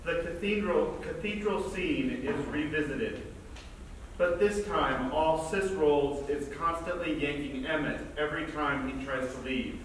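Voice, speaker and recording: A man's voice: on the high side, unhurried. A man reading scripted stage directions as narrator of a staged play reading. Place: large theater stage, recorded from afar. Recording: echoey theater, distant mic, noisy.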